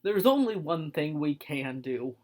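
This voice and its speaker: A woman voicing a timid but proud male lion who speaks earnestly and pleads loudly. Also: low voice, big pitch swings, measured pace, full character voice.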